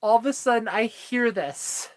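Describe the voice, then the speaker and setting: A woman's voice: fairly high pitch, animated, moderate pace. A woman in her thirties chatting candidly with viewers on a livestream, telling a personal story in a rambling way full of fillers. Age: 30s. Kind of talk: casual chat with viewers.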